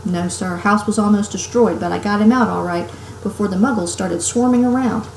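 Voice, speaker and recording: A woman voicing a huge, shaggy, soft-hearted male giant in a storybook, deferential and emotional, with simple rustic phrasing. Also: medium pitch, fairly quick, vocal fry, echoey room, slight background noise, natural voice, rough recording. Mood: respectful.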